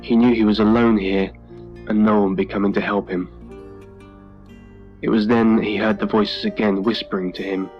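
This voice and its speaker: A man reading a scripted horror story in a measured, dramatic storytelling manner. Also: fairly low pitch, flat delivery, lo-fi sound. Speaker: story narrator. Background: music.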